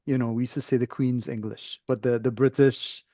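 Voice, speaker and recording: A man's voice: medium pitch, level tone, normal speed, mellow, no room sound, lo-fi sound. A man from Trinidad with a Trinidadian accent speaks as an interview guest in a thoughtful, discursive, conversational way. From Trinidad and Tobago.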